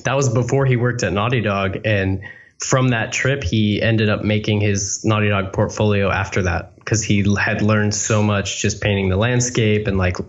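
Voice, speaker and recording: Casting: laid-back guy, lowish voice, swears casually, slightly dull lo-fi sound. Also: steady tone, normal speed. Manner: laid-back, swears often, heavy use of "like". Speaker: podcast guest, concept artist. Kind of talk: casual chat.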